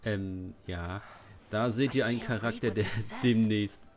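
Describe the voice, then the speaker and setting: A man with a German accent giving casual gameplay commentary, muttering and halting as he goes. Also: lowish voice, steady tone. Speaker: let's play streamer.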